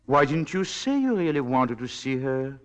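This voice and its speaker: An actor playing a suave, manipulative husband in 1940s radio drama style, condescending and commanding by turns. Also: male voice, medium pitch, sing-song, moderate pace, lightly acted. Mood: smooth, condescending. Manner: smoothly condescending, shifting to stern commands. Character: suave, controlling, manipulative husband and master of the house.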